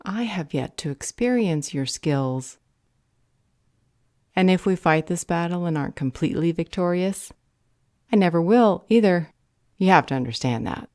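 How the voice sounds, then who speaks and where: A woman's voice: fairly low pitch, animated, normal speed, long pauses, darkish tone, dry recording, clean studio sound. A woman playing a guarded, resolute character in an audio roleplay, speaking in a firm, serious way.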